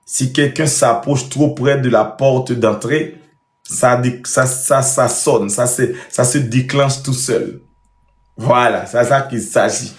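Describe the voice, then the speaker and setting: A man's voice: mid-range voice, steady tone, slow. A man chatting casually as host, with a theatrical, boastful manner. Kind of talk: casual chat. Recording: roomy, echoey sound.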